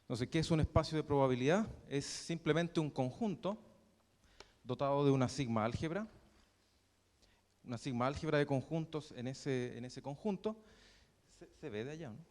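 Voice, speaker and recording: A young man with a Chilean accent giving a university math lecture, halting often with fillers and restarts. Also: a little high, a little mellow. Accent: Chilean Spanish. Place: lecture hall. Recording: reverberant lecture hall.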